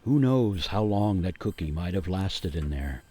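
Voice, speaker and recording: Gingerbread man narrator, lowish pitch, grave, haunted, theatrical radio drama, mock-solemn, dramatic pauses, faint music underneath. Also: animated, warm tone, breathy edge, heavily acted. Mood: hushed suspense. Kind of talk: dramatic first-person storytelling for a radio play.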